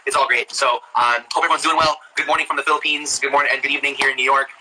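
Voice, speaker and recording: A man's voice: mid-range voice, level tone, rapid-fire, sharp tone, poor audio. An American man giving casual, encouraging cooking instruction as a chef, often repeating himself, over a live video call.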